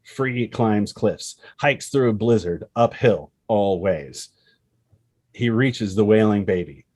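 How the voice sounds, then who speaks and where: A man's voice: on the low side, slow pace, darkish tone, no room sound. A man reading a screenplay's action lines as dramatic narration, expressively and in short punchy phrases. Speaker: screenplay reader.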